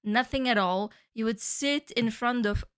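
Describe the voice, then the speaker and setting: A young woman from Northern Cyprus, a native Turkish speaker, musing softly about how she started creating. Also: fairly high pitch, a little slow, clean tone. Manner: soft, musing, many fillers. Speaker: musician sharing her story. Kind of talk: reflective personal monologue.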